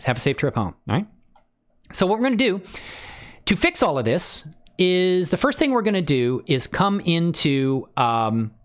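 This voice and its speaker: A man hosting a casual live software tutorial, exclamatory and given to interjections. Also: mid-range voice. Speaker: livestream tutorial host.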